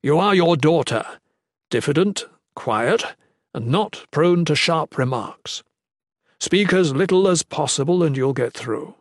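Guy reading as a calm cautioning sorcerer, measured, slightly raised pitch, fantasy audiobook. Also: dry recording, clean studio sound. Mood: firm, instructive. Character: calm, cautioning sorcerer advising a disguised ally.

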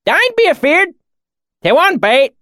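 A man's voice: extremely high pitch, big pitch swings, normal speed, clean tone, no room sound, studio quality, voice pushed up. A man voicing a weathered country swineherd with a thick rural dialect and folksy, hollering speech. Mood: shouting. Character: lean, weathered, suspicious old rustic swineherd, later friendly.

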